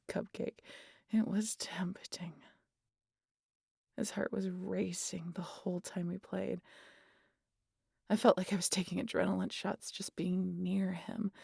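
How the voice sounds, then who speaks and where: Husky, breathy vampire woman, acted by a woman. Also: on the low side, fairly even intonation, takes it slowly, long pauses, darkish tone, no room sound, studio quality, full character voice. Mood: nostalgic, wry. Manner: wry, casual, sweary, confiding. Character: teasing, stubborn old vampire woman, wry and guarded. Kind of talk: intimate audio roleplay monologue addressed to the listener.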